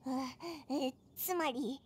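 A woman voicing a tiny, cheeky, childlike fairy companion in an anime game, squeaky and brash. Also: takes it slowly. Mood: shocked. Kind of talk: Japanese anime game voice acting.

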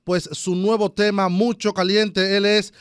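A man hosting a radio show, upbeat and joking, talking on a boom mic in a studio. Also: flat delivery, a little slow, clean tone. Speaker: radio host. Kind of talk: casual radio interview.

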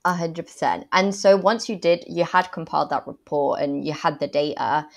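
A woman's voice: deep voice, steady tone, normal speed. A young woman interviewing a guest over a video call, summing up his points and asking follow-ups. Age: young adult. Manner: engaged, sums up and builds on answers. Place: small room at home, indoors. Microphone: earphone mic on a video call.

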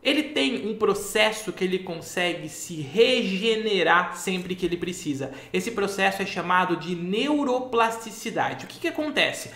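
A man's voice: sits high, moderate pace, few pauses. A man in his thirties, a memory-course teacher, explaining a topic directly to camera in a small office. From Brazil. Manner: animated, gestures a lot while explaining. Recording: echoey room.

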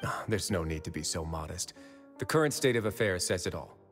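Composed, low-voiced young prison boss. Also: male voice, animated, a little fast. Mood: casual, wry. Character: composed, wry young male prison administrator. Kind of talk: video game voice acting. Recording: long reverb, music underneath.

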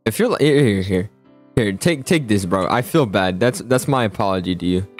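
Young male gamer for casual school roleplay, animated and slangy, music under the voice. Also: on the low side, normal speed. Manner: slangy, excitable, stammering repeats. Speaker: roleplay video host playing a student. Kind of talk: casual improvised game roleplay.

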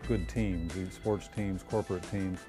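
A middle-aged man reminisces in a relaxed interview, pausing often with an "uh" as he gathers his thoughts. His voice sits low and easy. Clear background music plays under him. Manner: relaxed, reflective, with frequent "uh" pauses. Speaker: interviewee, retired army colonel. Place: outdoors in dry hills and in a home office.

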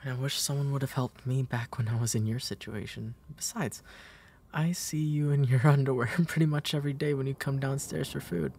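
A man acts out a gentle big brother in an intimate comfort roleplay. His voice is husky and breathy at a middling pitch. He speaks tenderly and a little hesitantly, protective and soft, close to a whisper at times. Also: fairly even intonation, brisk. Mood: gently reassuring.

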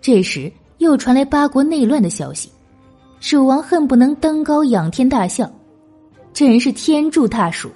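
A woman narrating a historical novel as an audiobook, in an expressive, literary storytelling manner. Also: sits high, moderate pace, breathy edge, faint music behind.

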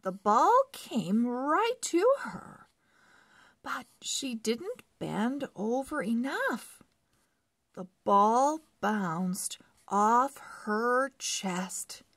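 A woman reads a children's story aloud at a very slow, careful pace. Her voice is breathy, with some creak at the edges. She places each phrase deliberately for young readers following along. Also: a little high, no echo. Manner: deliberate, word-by-word phrasing with animated emphasis. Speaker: children's book read-along narrator. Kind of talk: slow expressive read-aloud for early readers.

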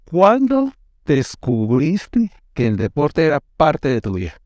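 A man hosting a studio interview in an animated, emphatic way. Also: a little high, slow pace, dry recording. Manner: animated, emphatic, lots of gestures. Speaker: host. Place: studio set with classroom backdrop. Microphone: lapel mic.

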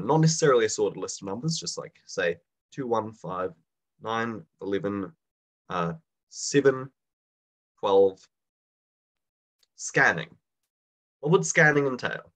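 A young man with a slight cold and a bit raspy, teaching a live online class over a video call in a casual, chatty way and putting questions to his students. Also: lowish voice, a bit bright.

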